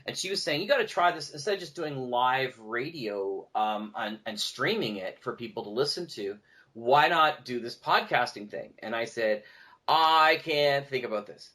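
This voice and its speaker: A man's voice: medium pitch, lively intonation, normal speed, pushed and bright. A man speaking as a podcast guest, telling stories casually in a discursive conversational way. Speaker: podcast guest, audio drama producer.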